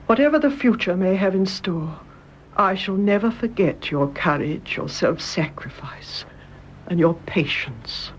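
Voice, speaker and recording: Dignified older British mother, measured and genteel. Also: fairly low pitch, animated, highs cut off, slight background noise. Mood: grave, sympathetic. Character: kindly, dignified older mother-in-law, quietly knowing. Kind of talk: BBC radio drama acting.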